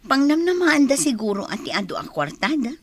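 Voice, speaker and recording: A woman's voice: high-pitched, very animated, fairly quick, dry recording. A Filipino woman playing an earnest, emotional young farm wife in a village radio drama. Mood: concerned. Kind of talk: Ilocano radio drama acting.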